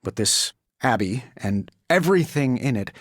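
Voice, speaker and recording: An actor voicing a wisecracking, self-assured billionaire inventor superhero in a video game, with an American accent and glib, sarcastic banter. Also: male voice, mid-range voice, lively intonation, moderate pace, no room sound, clean studio sound, close to an everyday voice. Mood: reflective, wry.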